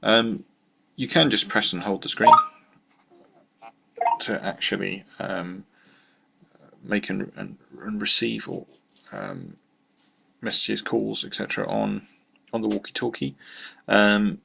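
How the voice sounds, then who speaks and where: A British man giving an informal product walkthrough as a tech channel presenter, halting and matter-of-fact in his delivery. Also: fairly low pitch, lively intonation, creaky, sharp tone, slight background noise, poor audio.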